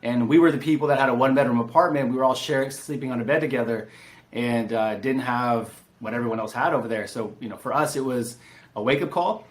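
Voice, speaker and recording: A man's voice: medium pitch, quick pace. Casual man. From San Diego, California. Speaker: podcast guest, real estate entrepreneur. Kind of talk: casual interview storytelling.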